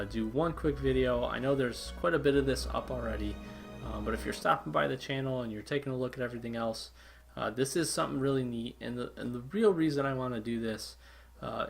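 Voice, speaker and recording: Man, gaming youtuber, casual enthusiastic talk, lots of ums, medium pitch, some vocal fry. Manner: chatty, enthusiastic, many fillers. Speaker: gaming channel host. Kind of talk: casual video intro.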